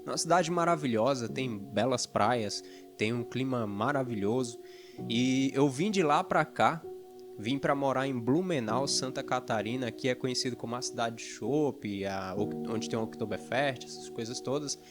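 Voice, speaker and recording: Man, earnest personal testimony, conversational with many fillers, middle pitch, clear guitar music under the voice. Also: normal speed. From Fortaleza, Ceará, Brazil. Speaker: video editor and YouTuber.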